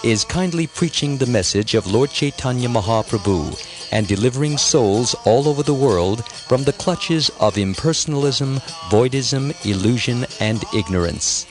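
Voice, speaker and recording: A man's voice: mid-range voice, animated, normal speed. Man narrating an audiobook over music.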